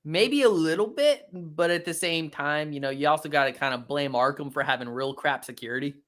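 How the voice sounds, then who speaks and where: A man's voice: on the high side, a little fast, fairly bright tone. A man in casual fan discussion, talking in a hedging, roundabout way with many filler phrases. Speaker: guest co-host from a geek show.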